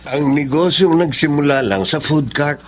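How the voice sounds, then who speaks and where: A man's voice: on the high side, normal speed, lightly acted. An actor playing a humble, grateful husband and father in a Filipino radio drama, deferential and earnest. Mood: proud, hopeful.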